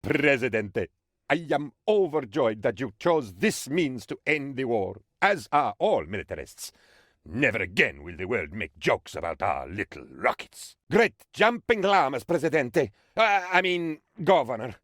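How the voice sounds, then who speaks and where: An actor playing an excitable, sycophantic political aide to an island dictator in a comic video game, with a theatrical, exaggerated delivery. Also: male voice, mid-range voice, measured pace, no echo, clean studio sound. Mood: gleeful, excitable. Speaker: adviser character briefing the player.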